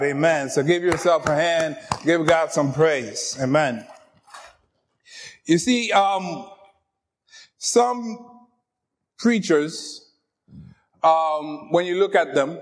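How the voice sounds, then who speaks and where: A middle-aged man whose first language is French, preaching a lively, joking sermon and talking straight to the congregation. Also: on the high side. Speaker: pastor.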